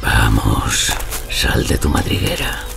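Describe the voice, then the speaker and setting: Mocking medieval archer, deep voice, insolent, teasing, audio drama, music behind. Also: male voice, wildly swooping pitch, takes it slowly, vocal fry, full character voice. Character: irreverent, mocking medieval nobleman archer out hunting.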